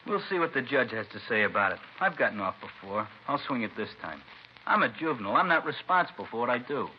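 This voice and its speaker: Cocky, fast-talking teenage hoodlum played by a man. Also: medium pitch, very animated, lightly acted. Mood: cocky, sullen. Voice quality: insolent, flippant delivery. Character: cocky, defiant teenage boy hoodlum, sullen and sarcastic. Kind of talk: 1950s radio crime drama acting. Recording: dull, noisy vintage radio recording.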